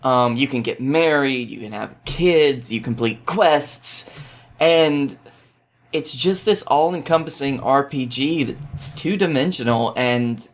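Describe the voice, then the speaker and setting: A male podcast guest with a medium-pitched voice. He explains things in a loose, conversational way, with wry asides and the odd swear word. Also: slow pace. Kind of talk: casual explanatory chat.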